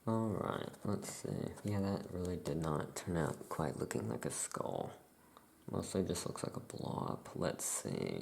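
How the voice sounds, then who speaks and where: A soft-spoken ASMR performer playing a laid-back witch shopkeeper, musing aloud in an unhurried, creaky voice. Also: deep voice, sing-song. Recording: faint background noise.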